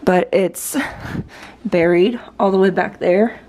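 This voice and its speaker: A woman in her thirties chatting casually about what she's cooking in her home kitchen, relaxed and self-deprecating. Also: fairly low pitch, takes it slowly. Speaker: home vlogger. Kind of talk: casual voice-over chat while cooking.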